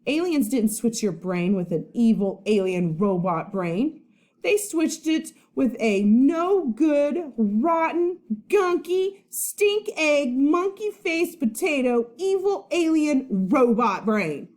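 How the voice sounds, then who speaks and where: A woman's voice: high-pitched, lively intonation, takes it slowly, a little mellow, voice pushed up. A woman voicing an earnest young storybook boy who plays superhero, dramatic and defensive. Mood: hurt, angry.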